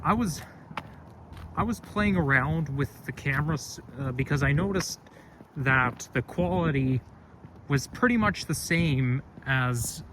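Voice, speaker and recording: A young man with a loud voice, rambling and correcting himself as he talks through a casual walking vlog, recorded on a phone camera outdoors. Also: a little high, lively intonation, unhurried. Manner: rambling, chatty, self-correcting. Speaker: vlogger. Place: outdoors on a street. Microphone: phone camera held while walking. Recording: outdoor street noise.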